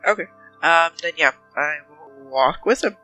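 A businesslike female detective, played by a woman at a gaming table, with a bright, forward voice. She probes methodically and keeps a measured manner, over soft background music. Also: low voice, animated, full character voice, poor audio. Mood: cautious.

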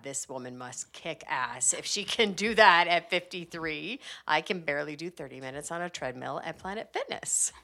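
A woman hosts a podcast interview in an eager, chatty way. Her voice sits low, with a gravelly edge and a bright, forceful tone. She laughs easily between her questions. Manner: enthusiastic, chatty, laughs often. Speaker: podcast host. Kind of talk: casual interview chat.

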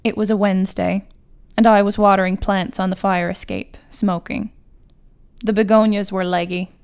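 Clear, smooth woman reading a story. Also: medium pitch, little pitch movement, normal speed, a little mellow. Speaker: guest writer reading her own fiction. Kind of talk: literary short story reading.

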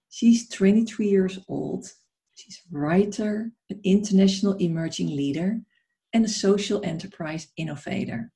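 A woman hosting an interview podcast, introducing her guest and asking questions in an admiring, conversational way. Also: on the low side. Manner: warmly admiring, conversational with restarts. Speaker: podcast host.

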